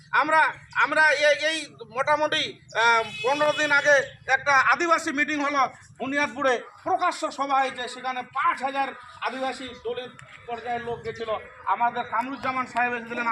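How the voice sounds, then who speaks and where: A man's voice: very high voice, steady tone, fairly quick, pushed and bright. A middle-aged man giving an impassioned, argumentative political talk as a local activist, emphatic and full of rhetorical questions. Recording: distant mic, faint ambient noise.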